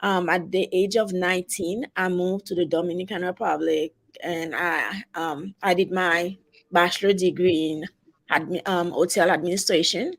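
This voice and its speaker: A woman in her forties from Haiti with a heavy Haitian accent, talking as an interview guest in a halting, casual way with many fillers. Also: fairly low pitch, steady tone. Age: 40s. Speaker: guest, travel advisor.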